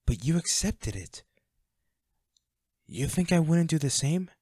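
A man playing a devoted, protective bodyguard with a dark past in an intimate audio roleplay, speaking earnestly and gravely. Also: on the high side, big pitch swings, a little slow, no echo, clean studio sound, full character voice. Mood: earnest, pleading.

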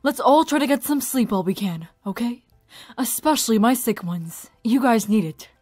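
Amateur actress, high and husky, expressive range, music bed. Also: female voice, normal speed, dry recording, studio quality. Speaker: amateur voice actor. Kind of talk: acted line read in an outtake.

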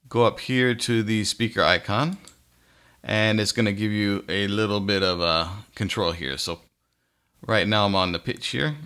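A man with a fairly low voice and a bright, forward tone. He talks like an informal tutorial host, walking listeners step by step through software in a casual, folksy manner.

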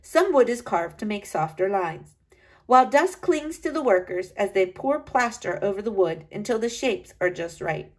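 A woman voicing a diligent, observant woman assistant in a sculptor's workshop, in a steady descriptive storybook reading. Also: medium pitch, moderate pace, close to an everyday voice.

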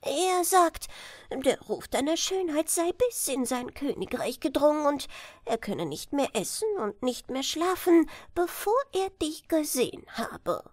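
Storybook flower knight, male guard, ceremonious and chivalrous, lifted pitch, unhurried , performed by a woman. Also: big pitch swings, no room sound, clean studio sound. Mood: formal reporting. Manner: solemn, ceremonious. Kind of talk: fairy-tale storybook reading.

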